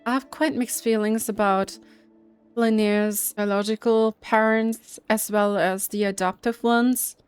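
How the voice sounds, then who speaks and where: A woman game streamer with a medium-pitched voice talks very slowly. Her commentary is casual and chatty, with halting, musing phrases over clear game music. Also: steady tone, no echo. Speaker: streamer, let's player.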